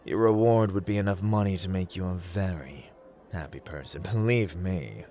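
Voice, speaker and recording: A man's voice: normal speed, faint music behind. A man playing a playful, haughty god of luck in an ASMR roleplay, theatrical and teasing, drawling his words as he addresses the listener. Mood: amused, intrigued. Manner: theatrical, teasing, drawling, swinging between hushed and booming.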